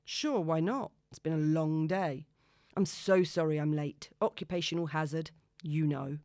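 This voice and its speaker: A woman voicing a smooth, breezy, noncommittal man on a date, with a casual, glib manner, in an audiobook. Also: low-pitched, measured pace, darkish tone, no room sound, studio quality. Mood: easygoing, charming. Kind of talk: audiobook character dialogue.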